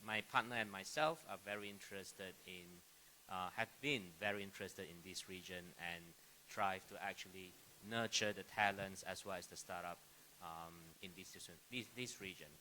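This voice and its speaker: Bright-voiced middle-aged man, lowish pitch. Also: normal speed, poor audio. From Malaysia. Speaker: panelist, academic and AI company founder. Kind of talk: self-introduction on a panel. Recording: live stage microphone with clear background noise.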